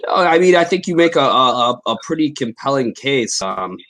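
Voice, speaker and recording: A man's voice: mid-range voice, very animated, moderate pace, a bit bright. A man in his late twenties speaking casually in an online debate, hedging his points. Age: 20s. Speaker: debate opponent.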